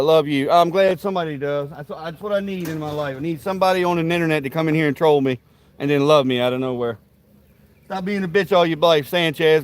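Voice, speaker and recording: A man hosting a live stream outdoors at a backyard grill, rambling to his viewers with profane, rowdy trash talk and folksy banter. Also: fairly high pitch. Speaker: livestream host.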